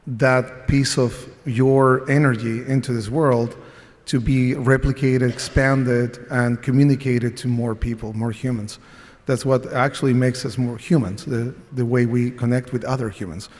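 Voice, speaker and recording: Chilean man on a conference panel, earnest and reflective, mid-pitched voice, echoing hall. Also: fairly even intonation, unhurried, darkish tone. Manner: reflective, earnest, with frequent restarts. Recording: long reverb of a conference hall.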